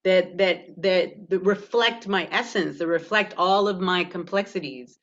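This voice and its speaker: A woman's voice: lowish voice, measured pace, lo-fi sound. A woman from Toronto with a Canadian accent, speaking candidly and animatedly as an actor on a panel, often laughing as she talks. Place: virtual panel over video call.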